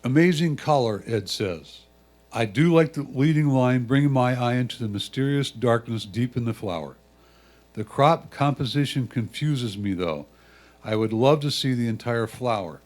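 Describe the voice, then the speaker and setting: A man reading written critique aloud in a measured, deliberate way. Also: mid-range voice, lively intonation, darkish tone. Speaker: reader of judges' comments.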